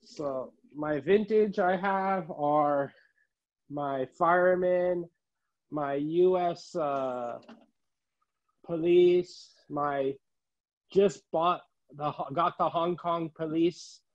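A middle-aged man giving a casual show-and-tell tour as a collector, listing items haltingly, recorded indoors on a handheld phone. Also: a little high. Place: room at home, indoors. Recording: dull, muffled phone audio.

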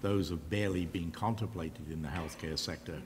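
Deep-voiced older man in a hall. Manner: measured, formal, elaborate vocabulary. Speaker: panelist. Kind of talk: panel discussion answer. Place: large auditorium stage. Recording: reverberant hall, faint noise.

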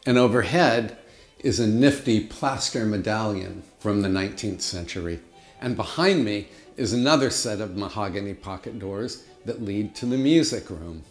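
A man's voice: fairly low pitch, expressive, moderate pace, soft background music. Chatty middle-aged man guiding a house tour. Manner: chatty, friendly tour-guide delivery. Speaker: home vlogger giving a house tour. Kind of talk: casual house tour narration to camera. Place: large old house with high-ceilinged rooms, indoors.

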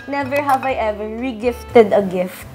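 A young woman chatting casually with friends in a home kitchen, lively and reading out party game prompts, on a lapel mic. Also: fairly high pitch, measured pace, soft background music. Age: young adult. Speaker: guest friend.

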